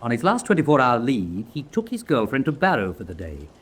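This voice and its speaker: An actor playing a sly, resourceful captive officer in a radio drama, speaking with dry, teasing deliberation. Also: male voice, medium pitch, huge pitch swings, fairly quick, fairly bright tone, husky, heavily acted. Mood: conspiratorial. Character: sly, resourceful prisoner-of-war officer, dryly playful schemer. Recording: background noise and ambience.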